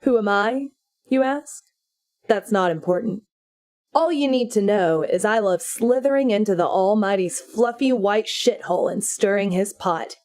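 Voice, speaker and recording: A woman voicing a mischievous male devil who taunts and mocks the listener loudly. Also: medium pitch, unhurried, close to an everyday voice. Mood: taunting. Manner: taunting, loud and sly.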